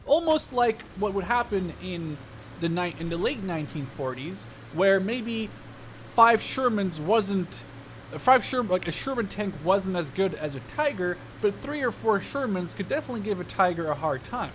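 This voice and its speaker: A man's voice: sits high, lively intonation, normal speed, a bit bright, slight background noise, poor audio. A man in his twenties from the Netherlands giving casual, rambling gameplay commentary with digressive storytelling. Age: 20s. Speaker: gaming video host.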